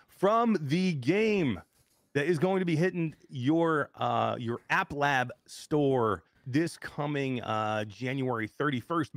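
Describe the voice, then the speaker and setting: A man hosting a casual live gaming show, energetic and joking, laughing often and swearing casually. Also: mid-range voice, dry recording, studio quality. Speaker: show host.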